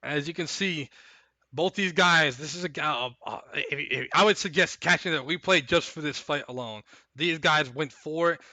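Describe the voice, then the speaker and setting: A man hosting a live stream, chatting casually and recapping fights in a rambling, hyped way while his voice goes hoarse. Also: on the high side, normal speed, bright, forceful tone, no echo. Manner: rambling, hyped, repeats himself. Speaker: stream host.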